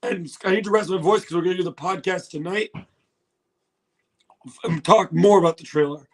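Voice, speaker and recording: Guy with a high, hoarse voice reacting to a trailer on a phone stream, excitable, cursing. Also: normal speed. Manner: excitable, laughs often, swears. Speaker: livestream host. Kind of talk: casual livestream trailer reaction.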